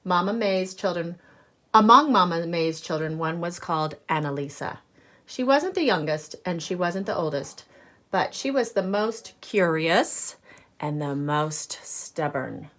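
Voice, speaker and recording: A woman reading a picture book aloud to children like a teacher, expressive and deliberate, pausing to explain things with simple asides and questions. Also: lowish voice.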